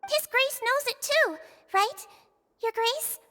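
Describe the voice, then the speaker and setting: A woman's voice: very high voice, slow pace, sharp tone, in a large hall, a little hiss or hum, exaggerated voice. A woman voicing a tiny, sweet, dutiful childlike nurse with formal, courteous speech in a video game. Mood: defensive.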